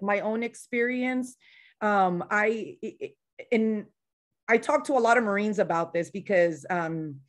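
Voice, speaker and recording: A woman veteran organizer with a slight Spanish-influenced accent, speaking passionately and bluntly in a casual online panel discussion. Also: mid-range voice.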